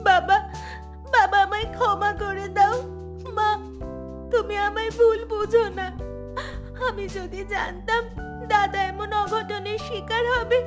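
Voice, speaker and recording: An extremely high, childlike voice over music, a doting little sister in a cartoon. She pleads insistently and melodramatically, like a small girl who wants to be heard. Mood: tearful remorse.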